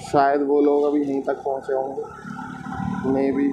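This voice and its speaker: A man's voice: mid-range voice, completely flat delivery, unhurried, lo-fi sound, music in the background. A man chatting casually for a vlog as he rides a motorbike outdoors. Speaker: vlogger, motorbike rider. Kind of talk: casual vlog chat while riding. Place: outdoors, riding a motorbike on a road. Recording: loud traffic and wind noise. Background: vehicle and road noise.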